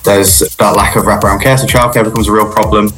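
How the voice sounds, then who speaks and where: A man speaking for a charity in a short news clip, measured as he lists his points. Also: lowish voice, steady tone. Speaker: charity spokesperson. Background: music.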